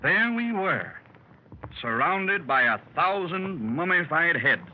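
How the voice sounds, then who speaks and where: An actor playing a daring male explorer who tells a jungle escape in 1930s radio drama style, shouting orders when the action starts. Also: a little high, big pitch swings, takes it slowly. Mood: grave. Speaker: club member telling his adventure. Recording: muffled 1930s radio recording with hiss.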